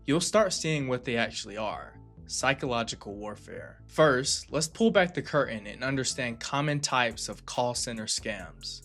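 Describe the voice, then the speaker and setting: A man hosting and narrating a scripted explainer in an emphatic, deliberate way, stressing key phrases. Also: medium pitch, fairly bright tone. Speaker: video narrator and host. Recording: music bed under the voice. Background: background music.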